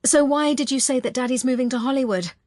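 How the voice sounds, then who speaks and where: A woman voicing a highly strung, protective mother in an audiobook, with a tense, insistent manner. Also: normal speed, darkish tone, dry recording, studio quality, natural voice. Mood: agitated.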